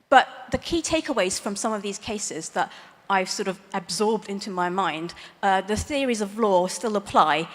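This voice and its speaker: A woman's voice: mid-range voice, fairly bright tone. A woman lawyer giving a conference talk, explaining legal ideas plainly with light, self-aware humor. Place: large conference hall stage. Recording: long reverb of a big hall.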